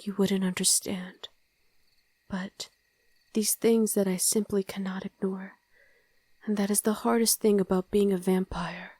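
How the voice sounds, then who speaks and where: Breathy, slow-speaking vampire wife played by a woman. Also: medium pitch, steady tone, warm tone, no echo. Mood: apologetic, then wistful, longing. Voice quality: breathy, often whispering. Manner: slow, tender, coaxing murmur. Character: loving, possessive vampire wife, tender and wistful. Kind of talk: intimate ASMR roleplay. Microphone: close, dry microphone.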